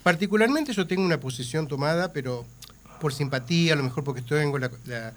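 A man's voice: a little high, animated, fast talker, a bit bright, slight background noise. A man with an Argentine Spanish accent and a flu, talking as an advertising guest in a rambling way with many fillers. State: has the flu. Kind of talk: casual radio chat.

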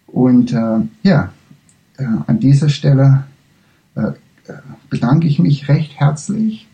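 A man closing a spoken lecture, halting with many fillers and restarts. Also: mid-range voice, lively intonation, gravelly, warm tone, rough recording.

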